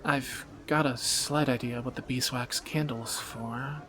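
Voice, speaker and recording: A man playing a teasing, protective captor turned lover in a romantic fantasy audio roleplay, bantering and soft-spoken. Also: mid-range voice, fairly even intonation, a little slow, background noise, lightly acted. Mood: teasing, curious.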